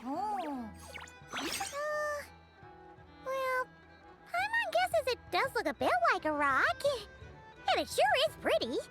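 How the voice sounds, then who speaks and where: Tiny childlike mascot from a game, super high and bouncy, curious, faint music behind. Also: female voice, wildly swooping pitch, takes it slowly, pauses often, a bit bright, exaggerated voice. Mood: curious, unsure. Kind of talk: scripted video game character dialogue.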